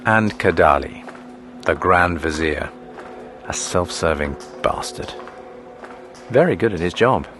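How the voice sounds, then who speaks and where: A man's voice: deep voice, lively intonation, takes it slowly, long pauses, over music. An actor playing a palace guard master who narrates his tale in a radio drama, dry, sardonic and confiding. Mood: wry, confiding.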